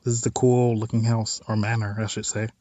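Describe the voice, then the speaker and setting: A man's voice: on the low side, little pitch movement, brisk, dark tone, dry recording. A man hosting a let's play of a visual novel, reading text aloud and adding informal, wry asides. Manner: informal, wry side comments on what is read.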